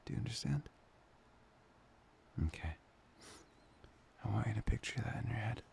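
A man playing a tender, playful boyfriend in an intimate ASMR roleplay, breathy and often slipping into a whisper. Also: mid-range voice, normal speed, a little hiss or hum. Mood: gentle, coaxing. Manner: hesitant, intimate, soft-spoken. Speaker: ASMR voice performer.

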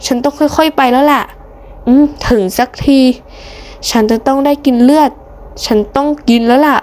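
Woman acting as a female vampire, high voice, secretive, plotting to herself, cartoon story. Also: fairly even intonation, normal speed, darkish tone, natural voice. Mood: impatient. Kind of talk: hobby cartoon story dubbing.

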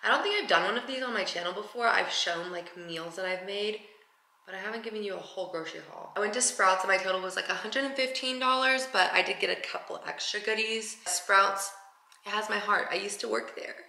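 Bright-voiced young woman rambling through her day, echoey house, bit of hiss. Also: medium pitch, brisk, gravelly. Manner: chatty, upbeat, lots of "like". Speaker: vlogger. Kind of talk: casual daily vlog chat. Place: large bright home interior. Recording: roomy echo, faint noise.

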